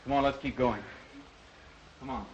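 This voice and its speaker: An actor playing a resolute, protective castaway hunter in a brisk, confident, old-time radio drama style. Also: male voice, mid-range voice, fairly even intonation, slightly rough, close to an everyday voice. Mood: urging, determined. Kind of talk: 1940s radio drama acting. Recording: muffled, noisy old-time radio recording.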